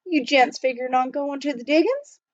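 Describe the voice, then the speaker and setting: A woman voicing a friendly, rough-hewn young gold miner in folksy frontier dialect for a children's storybook. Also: high voice, brisk, dry recording, highs cut off, voice pushed up, natural voice. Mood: chatty. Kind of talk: children's storybook read aloud.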